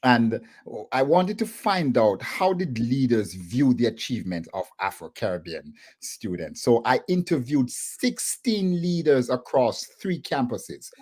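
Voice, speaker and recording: A middle-aged man with a Jamaican accent, a professor guest talking on a video call from a home office, measured with hesitant fillers. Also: mid-range voice, animated, darkish tone.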